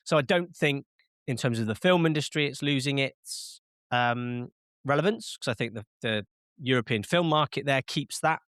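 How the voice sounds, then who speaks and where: A man's voice: mid-range voice, moderate pace, a bit bright, no echo, studio quality. A man hosting a casual film podcast, chatting with frequent fillers and restarts while sounding slightly nasal from a cold. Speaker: podcast host.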